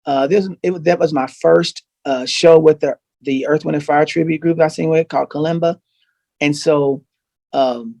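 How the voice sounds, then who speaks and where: Guy on a video call, kinda high voice, super dry sound, tells stories and restarts a lot. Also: gravelly, no echo. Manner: animated, storytelling, frequent restarts. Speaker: guest singer. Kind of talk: casual chat on a video call.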